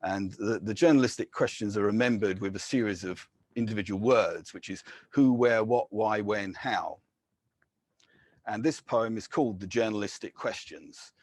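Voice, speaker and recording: Husky-voiced man reading poetry aloud. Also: lowish voice, darkish tone, no echo. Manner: measured, deliberate reading with brief casual asides. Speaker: poet. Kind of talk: poetry reading with spoken introductions.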